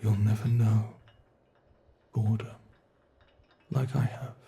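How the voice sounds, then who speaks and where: A British man playing a slow, gravelly monster. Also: on the low side, flat delivery. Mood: menacing. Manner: slow, intimate, menacing delivery with soft whispers. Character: ancient bored male monster, menacing and cruel. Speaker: ASMR roleplay voice actor. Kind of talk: ASMR horror roleplay.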